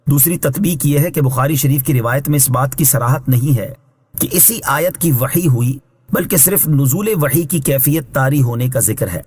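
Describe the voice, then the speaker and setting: A man reading scripted Islamic translation and commentary in a measured, formal, instructive way, as a scholar would. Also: medium pitch, darkish tone, slightly rough. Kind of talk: scripted religious exegesis narration.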